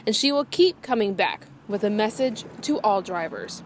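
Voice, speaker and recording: A woman's voice: mid-range voice, animated, normal speed, background noise. A woman television reporter reading scripted narration for a news package.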